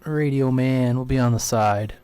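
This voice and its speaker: A man's voice: medium pitch, normal speed, dark tone, clear, resonant tone, no echo. A man chatting casually on the radio as a CB operator, in an easygoing, informal way. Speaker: CB radio operator, live stream host.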